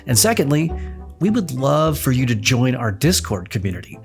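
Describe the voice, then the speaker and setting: A man's voice: mid-range voice, very animated, moderate pace. A man giving a friendly scripted promo read as an announcer for a podcast. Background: music.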